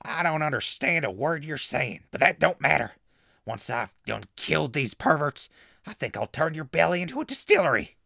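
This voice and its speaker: An actor playing a hot-tempered backwoods hermit in a comedy audio drama, folksy and threatening, with a rural Southern US accent. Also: male voice, fairly high pitch, big pitch swings, normal speed, creaky, bright, forceful tone, breathy edge, no room sound, clean studio sound, exaggerated voice. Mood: indignant. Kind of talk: post-apocalyptic comedy audio drama acting.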